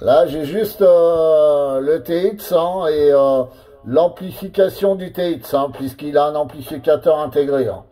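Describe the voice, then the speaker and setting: A man operating a CB radio, chatting casually with lots of pauses and filler words. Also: a little high, mellow, soft background music. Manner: hesitant, full of fillers and tags.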